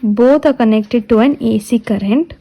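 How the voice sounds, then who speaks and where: Higher-pitched woman lecturer with mellow tone. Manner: repeats and restates points deliberately. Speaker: physiotherapy lecturer. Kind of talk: step-by-step technical lecture explaining a diagram.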